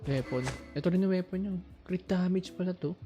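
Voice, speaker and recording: A man's voice: sits high, quick pace, dark tone, faint music behind. A man with a Filipino accent making casual remarks while he plays a game. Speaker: gamer.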